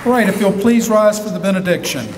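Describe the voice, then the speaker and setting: A man giving a solemn, measured benediction prayer at a college graduation ceremony. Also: sits high, animated, crowd noise. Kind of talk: formal spoken prayer. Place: college auditorium. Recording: auditorium sound with long reverb and noise.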